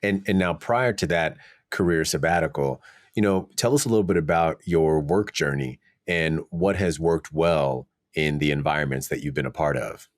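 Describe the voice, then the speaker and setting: Deep-voiced relaxed male podcast host. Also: normal speed, dry recording, clean studio sound. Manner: easygoing, uses fillers like "you know". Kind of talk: conversational interview.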